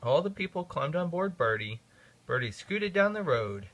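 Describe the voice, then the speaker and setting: A man reading a children's storybook aloud to his kids. His delivery is expressive and sing-song, with a fairly high voice. The pitch rises and falls a lot. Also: normal speed, muffled recording.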